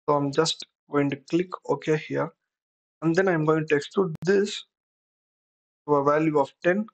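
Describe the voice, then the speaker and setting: A software tutor giving an unscripted screen-recorded tutorial, explaining step by step while thinking aloud. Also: medium pitch, measured pace, lo-fi sound.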